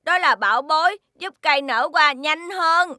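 High-voiced friendly little alien. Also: female voice, moderate pace, pushed and bright, no echo, clean studio sound. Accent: Southern Vietnamese. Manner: childlike, friendly. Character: cheerful, curious small alien buddy from another planet. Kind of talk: animated children's cartoon dubbing. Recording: music behind the voice.

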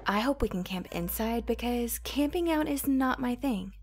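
A woman playing a pampered, lazy teenage rich girl in an audio drama, teasing in a mocking, drawn-out way. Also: a little high, animated, husky. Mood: smug teasing.

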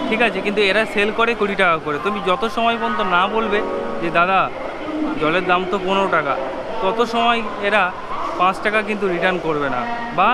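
A man with an Indian Bengali accent talks casually to viewers as a travel vlogger, explaining things and often checking that they follow. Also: high-pitched. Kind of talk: casual vlog narration to viewers. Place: busy railway station and train carriage. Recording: loud station crowd and train noise. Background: crowd chatter and railway noise.

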